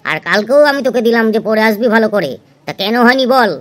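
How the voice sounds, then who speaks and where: A woman's voice: a little high, animated, brisk, lightly acted. A woman playing a stern, exasperated schoolteacher who scolds and lectures pupils in an amateur cartoon dub.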